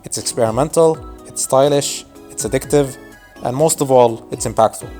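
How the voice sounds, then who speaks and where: A man's voice: mid-range voice, moderate pace, mellow, faint music behind. A man in his twenties whose first language is Arabic, narrating a scripted video essay in a casual, wry, nostalgic way. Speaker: YouTube narrator.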